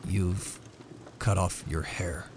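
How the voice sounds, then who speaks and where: A man playing a devoted young husband, speaking in a measured, laboring way in a dramatic storybook reading. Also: sits low, fairly even intonation, background noise, pitched below the performer's own voice. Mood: dazed.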